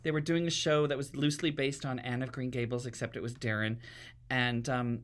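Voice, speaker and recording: Male, 50s, bright forward voice, dry sardonic wit, casual storytelling guest. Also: mid-range voice, fairly even intonation, brisk. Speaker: guest, playwright and drag performer.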